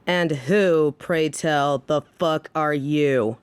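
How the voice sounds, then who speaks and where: A woman's voice: low-pitched, drawn-out words, smooth, dry recording. A woman playing a tough, protective mafia boss in an audio drama, formal and menacing in manner.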